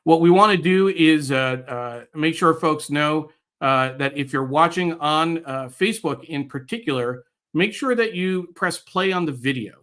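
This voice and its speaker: A middle-aged American man guest-hosting a live online show, speaking in a halting way with pauses mid-phrase. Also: a little high, a bit bright. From United States, New York area. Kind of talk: live show introduction, reading viewer comments.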